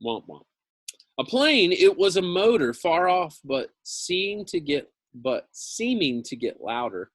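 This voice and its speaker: A man's voice: fairly high pitch, sing-song, slow, dry recording. A man reading a novel aloud like a teacher, with dramatic emphasis and casual, informal asides. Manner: dramatic emphasis, repeats phrases, informal commentary.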